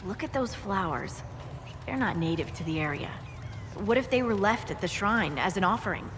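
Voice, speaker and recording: An actress playing a brisk, observant female expedition leader in a video game, practical and matter-of-fact. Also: medium pitch, expressive, background noise, close to an everyday voice. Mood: observant, focused. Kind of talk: video game cutscene acting. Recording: long hall reverb, game music behind.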